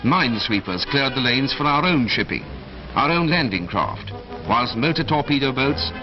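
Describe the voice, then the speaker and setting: Male 1940s newsreel narrator, solemn and emphatic, mid-pitched, on a dull old film soundtrack under loud music. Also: sing-song. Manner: solemn, emphatic, dramatic oratory with measured phrases.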